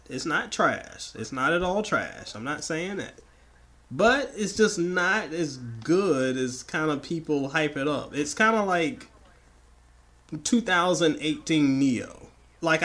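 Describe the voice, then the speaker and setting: A man with a slightly raspy voice from the tail end of a flu, bantering emphatically and playfully as an opinionated podcast co-host. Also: sits high, pushed and bright. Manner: playful, emphatic, repeats words for effect. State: getting over the flu.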